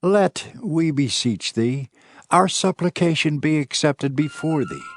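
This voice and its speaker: A man's voice: fairly high pitch, animated, a little slow, dry recording, clean studio sound. Man acting a humble, pleading petitioner. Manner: pleading, reverent. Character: humble male petitioner begging a prophet's prayer. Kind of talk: dramatized scripture reading.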